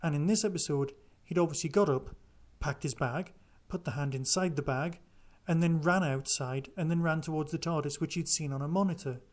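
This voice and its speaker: A man presents his podcast in a casual, conversational style, talking through news and reviews on his own. His voice sits a little higher than average for a man. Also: brisk, hardly any pauses. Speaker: podcast host. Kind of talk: casual solo podcast review and listener chat.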